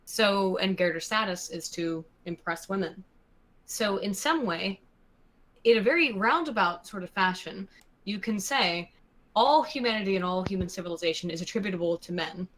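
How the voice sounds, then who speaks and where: A woman's voice: mid-range voice, moderate pace, a bit bright, poor audio. Emphatic woman co-host. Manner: emphatic, listing points. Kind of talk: casual podcast chat.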